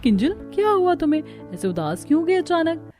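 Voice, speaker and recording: Woman as sweet, mellow-voiced cartoon bride. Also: very high-pitched, big pitch swings, fairly quick, faint music behind. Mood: concerned. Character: sweet, trusting young newlywed bride, eager to please.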